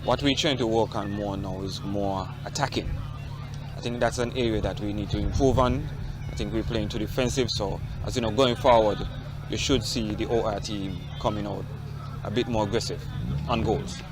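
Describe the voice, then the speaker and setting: A man's voice: lowish voice, fairly even intonation, moderate pace. A man giving an informal sports interview as a football team manager.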